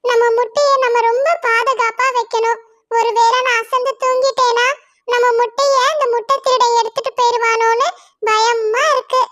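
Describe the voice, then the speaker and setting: A woman's voice: very high voice, little pitch movement, normal speed, a bit bright. A woman voicing Latchu, a worried mother bird and wife, in an anxious, pleading manner.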